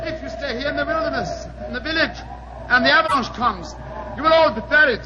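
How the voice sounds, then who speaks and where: Commanding disguised explorer, very high declamatory voice, long reverb, old radio drama. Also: male voice, brisk, a loud crowd. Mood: angry, resolute. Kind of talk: 1940s radio acting. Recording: muffled, echoing, noisy old radio recording.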